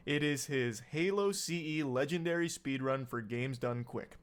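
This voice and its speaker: A man narrating a scripted commentary video in a sarcastic, energetic storytelling manner. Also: medium pitch, normal speed, slight background noise.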